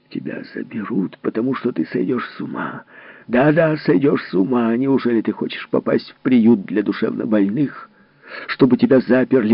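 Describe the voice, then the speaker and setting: A man's voice: mid-range voice, creaky, slightly rough, no echo, pitched above the performer's own voice. A man doing a harsh scornful professor. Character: bitter harsh older male historian, husband. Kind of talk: audiobook reading. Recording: dull lo-fi recording.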